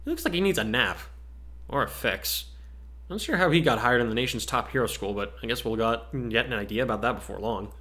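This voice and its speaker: A man's voice: mid-range voice, lively intonation, brisk, pushed and bright. A wry teenage boy talks casually and half mutters, voiced by a man reading aloud. He is a skeptical student in a fan story.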